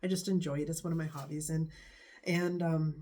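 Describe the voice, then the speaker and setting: Stuffy-nosed vlogger, mellow tone. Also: mid-range voice, fairly even intonation. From United States. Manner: rambling, hesitant, many pauses. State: stuffy nose from a bad cold. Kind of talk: casual talking-to-camera vlog.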